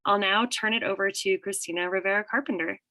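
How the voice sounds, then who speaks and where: A woman moderating a webinar, reading the opening announcements in a brisk, businesslike way. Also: mid-range voice, steady tone, pushed and bright, dry recording.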